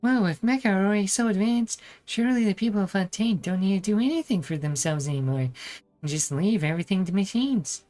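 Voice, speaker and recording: A woman's voice: medium pitch, lively intonation, fairly quick, warm tone, soft background music, close to an everyday voice. A woman voicing a small, cheerful, childlike companion sprite in a video game, naive and eager. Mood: curious.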